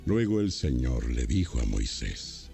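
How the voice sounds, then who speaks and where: Very deep, gravelly male narrator. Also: big pitch swings, measured pace, warm tone. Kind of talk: scripted dramatized Bible narration. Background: music.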